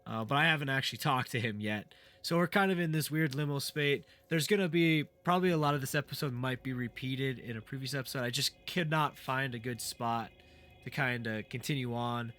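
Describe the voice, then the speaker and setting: A man who is sick and a bit raspy, hosting a game playthrough in casual, rambling commentary with many fillers and restarts. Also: medium pitch, a little fast, sharp tone. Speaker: gaming channel host. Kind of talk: casual let's play commentary.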